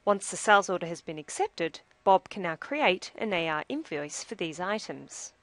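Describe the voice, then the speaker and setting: Low-voiced woman narrating a software demo. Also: expressive, moderate pace, no room sound. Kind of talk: scripted narration of a software tutorial.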